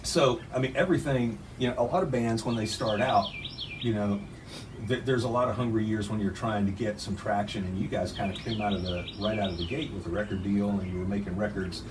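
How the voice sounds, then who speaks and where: A man's voice: fairly low pitch, a little fast. A man hosting an interview on a porch, asking questions in a rambling, friendly way with many fillers. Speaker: interviewer, channel host. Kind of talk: casual interview. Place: outdoor porch. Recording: distant mic, birds and outdoor noise.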